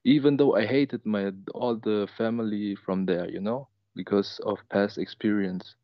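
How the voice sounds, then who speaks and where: Low-voiced young man calling in, dull line. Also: steady tone, a little slow, no room sound. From Germany. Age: 20s. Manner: hesitant, many fillers and restarts, emotional. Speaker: caller. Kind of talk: personal story on a call-in show.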